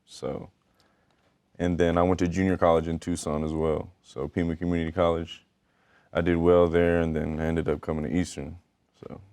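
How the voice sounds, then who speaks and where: A young man from Japan, a college basketball player giving brief, low-key interview answers that trail off. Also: little pitch movement, normal speed, a little mellow. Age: young adult.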